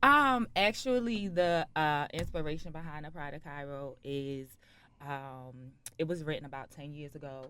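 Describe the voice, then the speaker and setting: A woman's voice: low-pitched, normal speed, pushed and bright, a little hiss or hum. A woman interview guest, a writer and director, explaining a story in a studio interview.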